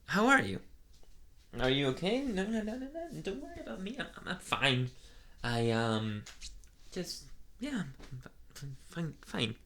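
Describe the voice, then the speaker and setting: A shy, sweet young vampire boyfriend , acted by a man, speaks slowly in a soft ASMR roleplay. His voice has a bright edge with frequent creak. He hesitates and stammers, nervous and easily flustered. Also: mid-range voice, exaggerated voice. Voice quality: soft, breathy at times.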